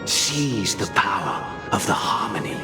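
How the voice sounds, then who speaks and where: A calculating old master in a game cutscene, paternal and solemn. His very deep voice moves slowly and echoes beneath loud music. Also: very animated. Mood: solemn, commanding. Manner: measured, paternal, grave. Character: solemn, calculating older male master of a religious Order. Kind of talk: dramatic game voice acting. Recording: echoing voice under loud music.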